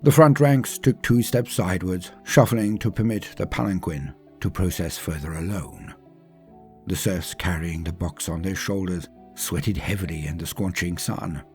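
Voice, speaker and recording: A man's voice: deep voice, darkish tone. A man reading a scripted story as a dramatic narrator, with theatrical, emphatic phrasing that drops away at line ends. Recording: music under the voice.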